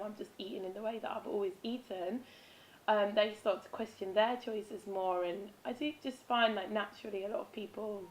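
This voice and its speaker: A woman's voice, hoarse and raspy from illness, talking in a loose, wandering way with plenty of ums. Her pitch sits in the middle of a woman's range. A faint hiss of noise runs underneath. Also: darkish tone, rough recording. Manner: rambling, with many ums and self-corrections. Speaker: vlogger. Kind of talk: casual spiritual vlog talk.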